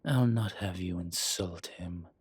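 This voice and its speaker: An ancient, dangerous vampire elder acted by a man for an audio drama. His voice is very husky and he takes his words slowly and deliberately, proud and quietly menacing. It is recorded dry and close. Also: low voice, no echo, exaggerated voice. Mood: firm, calm. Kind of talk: audio roleplay drama acting.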